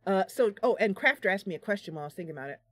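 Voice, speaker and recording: A woman's voice: medium pitch, expressive, rapid-fire, no room sound. A woman hosting a game live stream, chatting casually and explaining things as she goes.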